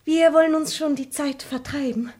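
An eager, pert young daughter coaxing her papa, as an actress plays her. Her voice is notably high. A radio play role. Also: fairly even intonation, normal speed, a little mellow, close to an everyday voice.

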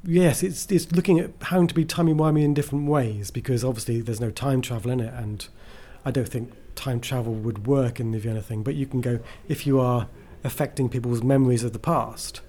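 A man's voice: medium pitch, brisk, hardly any pauses, mellow. A man being interviewed as a writer, chatting casually and enthusiastically, often rambling and breaking into his own sentences.

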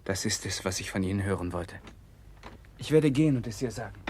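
A man's voice: fairly low pitch, lively intonation, brisk, darkish tone, a little hiss or hum, lightly acted. A man playing a principled, protective political exile in a 1960s German radio drama, speaking formally and directly. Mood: earnest.

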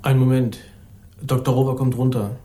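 An actor playing an affable but guarded young police officer in a crime radio play. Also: male voice, medium pitch, little pitch movement, brisk, mellow, close to an everyday voice. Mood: businesslike. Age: young adult. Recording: roomy studio office acoustic.

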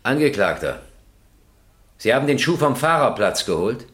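A man's voice: mid-range voice, very animated, normal speed, a bit bright. An actor playing a stern presiding judge in a German radio crime drama, formal and probing in his questioning. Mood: insistent, formal.

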